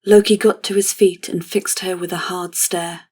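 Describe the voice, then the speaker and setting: Female narrator for scripted fantasy, slightly low register, very level and solemn. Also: little pitch movement, normal speed, darkish tone, slightly rough.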